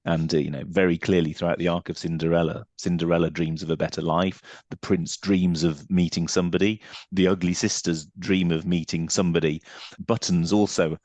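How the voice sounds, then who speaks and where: Male podcast guest, low register, structured and emphatic explainer, dry studio-like sound. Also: level tone, fairly quick, darkish tone, no room sound. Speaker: theatre company managing director, podcast guest. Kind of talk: thoughtful interview talk.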